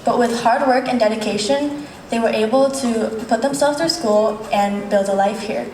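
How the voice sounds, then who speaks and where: A woman's voice: on the high side, a little mellow. Young woman giving a pageant speech. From United States. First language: Vietnamese. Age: young adult. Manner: earnest, measured delivery. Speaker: pageant contestant. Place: large echoey hall. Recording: echoey hall from far off, faint hiss.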